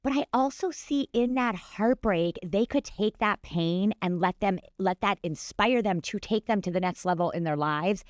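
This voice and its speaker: A woman co-hosting a podcast who also coaches clients, telling stories in an animated way and laughing often. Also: medium pitch, normal speed, hardly any pauses, no echo, studio quality. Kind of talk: casual conversation.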